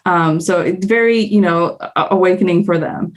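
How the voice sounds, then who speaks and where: A woman's voice: lowish voice, moderate pace. A woman with a Canadian accent, speaking as a guest on a panel. She talks in an earnest, reflective way and thinks aloud as she goes. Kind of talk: candid panel discussion.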